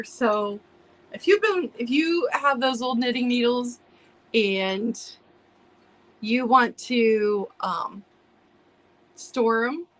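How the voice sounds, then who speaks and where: A woman hosting a live online vintage sale in casual chat, a little hoarse from allergies and clearing her throat now and then, greeting viewers by name as she describes items. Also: sits high, a bit bright, poor audio. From United States.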